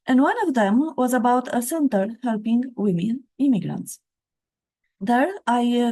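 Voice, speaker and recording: A middle-aged woman from Romania, reading her own story aloud in a measured, reflective way. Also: a little high, mellow.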